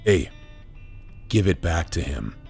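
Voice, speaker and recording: A huge wolf thug, acted by a man in an audio drama, with a very low voice. He drawls slowly and menacingly like he owns the territory, yet his pitch swings expressively. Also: sing-song, vocal fry, a little mellow, breathy edge, soft background music, full character voice. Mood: commanding. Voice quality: deep, low voice. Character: big intimidating territorial wolf, gang tough guy. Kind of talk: audio drama character acting.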